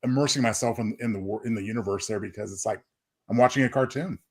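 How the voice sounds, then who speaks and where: A man's voice: on the low side, fast. A man co-hosting a podcast, talking in a casual, analytical way. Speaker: podcast co-host.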